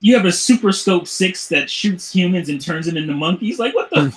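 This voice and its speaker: A man chatting casually as a podcast host, riffing in an animated, profane way and often chuckling. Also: high-pitched, a little fast. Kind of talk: casual film banter.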